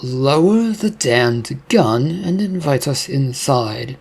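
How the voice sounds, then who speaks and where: A man's voice: medium pitch, takes it slowly, husky, exaggerated voice. A man reading a blunt paranormal detective. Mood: calm. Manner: blunt, calm, commanding. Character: part-human male paranormal detective, commanding.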